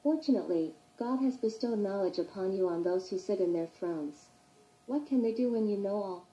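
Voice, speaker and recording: A woman playing a sinister, self-righteous caretaker in a horror monologue, preachy and measured, in a muffled echoey recording. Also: medium pitch, dark tone, natural voice.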